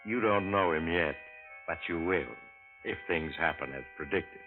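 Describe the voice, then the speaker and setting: Casting: male actor as deep-voiced, ominous American mystery host, measured and slyly genial, old-time radio narration with music loud behind. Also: phone-quality sound, lightly acted. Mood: confiding, teasing. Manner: ominous, measured, teasing, intimate address to listener. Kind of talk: 1940s radio suspense narration. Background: loud background music.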